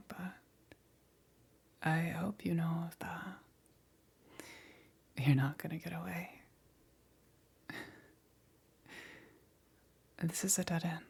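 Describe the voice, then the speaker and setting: A sadistic demon queen played by a woman whispers in a very breathy, husky voice with gravelly fry at the edges. She speaks extremely slowly, taunting and condescending, as if toying with cornered prey in an ASMR roleplay. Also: fairly low pitch, fairly even intonation, a little mellow, heavily acted. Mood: menacing, playful. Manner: slow, taunting, drawn-out condescending delivery.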